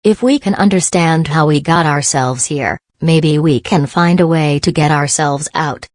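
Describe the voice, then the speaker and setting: Woman, low pitch, scripted read-aloud, exam practice reader, dry close sound. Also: measured pace, a little mellow, no echo.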